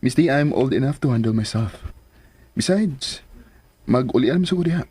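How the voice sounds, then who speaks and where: A man's voice: medium pitch, expressive, normal speed, dark tone, husky, dry recording. An actor playing an evasive, impatient businessman in a radio drama. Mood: impatient, defensive.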